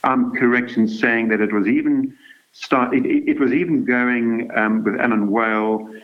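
Older man, halting, muffled line. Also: medium pitch, fairly even intonation. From South Africa. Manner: halting, many repeated words and restarts. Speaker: guest woodworker and teacher. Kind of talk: podcast interview.

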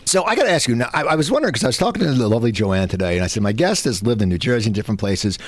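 A fast-talking male radio host whose pitch swings widely as he rambles and restarts his sentences. The studio sound is dry and close. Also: no echo. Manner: rambling, stammering restarts, animated. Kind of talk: casual talk-show chat.

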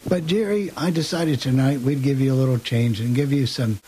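A man's voice: medium pitch, fairly quick, warm tone, rough recording. A man co-hosting a radio show and casually introducing material. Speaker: radio show co-host and program director. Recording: faint hiss.

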